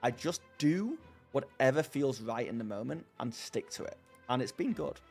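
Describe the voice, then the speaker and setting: A man's voice: mid-range voice, lively intonation, normal speed, creaky, a little mellow, soft background music. A man casually chatting on his live stream and answering viewers, rambling and self-deprecating, laughing often. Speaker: streamer hosting a live chat.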